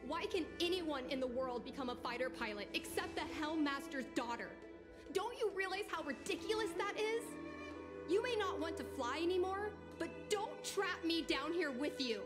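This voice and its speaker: A woman's voice: very high voice, animated, moderate pace. A woman voicing a headstrong, indignant young daughter in a video game drama. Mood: defiant, indignant. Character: headstrong, defiant young daughter, aspiring pilot. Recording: game audio with music behind. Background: music.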